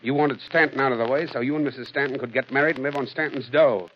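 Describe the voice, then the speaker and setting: An actor playing a tough, wry private detective in old radio drama style, clipped and slangy. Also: male voice, mid-range voice, fast, lightly acted. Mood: accusing, assured. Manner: hard-boiled, clipped, slangy. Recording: dull, noisy old radio recording.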